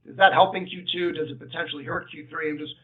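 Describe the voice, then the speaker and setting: Man, fairly high voice, informal halting analyst questions, tinny phone line. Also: steady tone. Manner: informal, hesitant phrasing with frequent "like". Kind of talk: unscripted conference-call questioning.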